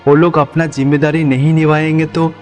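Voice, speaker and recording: A man's voice: fairly high pitch, little pitch movement, fairly quick, darkish tone, close to an everyday voice. An actor playing a meek, devout and naive village milkman, speaking politely and earnestly in a cartoon story. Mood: earnest trust. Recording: long reverb, music behind.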